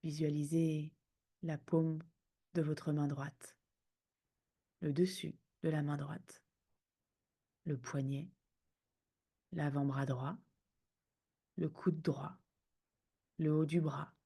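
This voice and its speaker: A woman guiding a sleep meditation in a soothing, hushed manner, speaking in unhurried phrases with pauses. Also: low-pitched, level tone, breathy edge. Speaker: yoga nidra guide.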